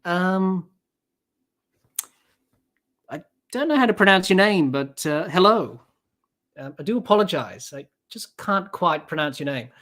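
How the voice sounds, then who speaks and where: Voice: mid-range voice, sing-song, a little slow, pauses often, a bit bright. A person in their twenties with an Australian accent, hosting a casual live cricket podcast, chatting loosely and reading out viewer comments between balls. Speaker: podcast host.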